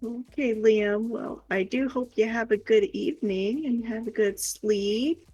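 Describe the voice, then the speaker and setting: A woman's voice: a little high, unhurried, warm tone, dull audio, soft background music. A woman co-hosting a stream in casual chat, affectionate and encouraging as she talks. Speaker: stream co-host helping with the chat.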